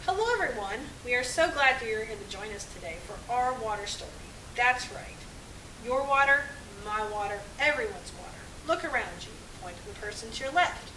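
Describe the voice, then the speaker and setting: Higher-voiced woman presenting to children, echoey. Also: lively intonation, a little fast. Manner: emphatic, addressing an audience in short phrases. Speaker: puppet show presenter. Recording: echoey, distant mic, clearly hissy.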